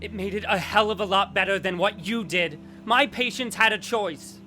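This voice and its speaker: Defensive male reaper, played by an actor, higher-pitched, sharp bright tone, intense and weary, audio drama. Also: normal speed, faint music behind. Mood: angry, defensive.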